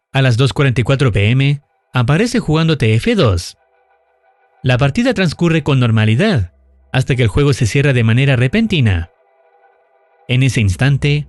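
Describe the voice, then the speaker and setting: A man giving a scripted horror recap narration in a measured, serious storytelling manner. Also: medium pitch, dry recording.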